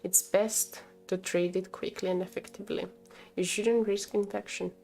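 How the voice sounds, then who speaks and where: A woman's voice: medium pitch, fairly even intonation, moderate pace, gravelly, darkish tone, soft background music, close to an everyday voice. Woman reading as a concerned, fussing cafe coworker. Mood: earnest advice. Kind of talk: visual novel dialogue read aloud.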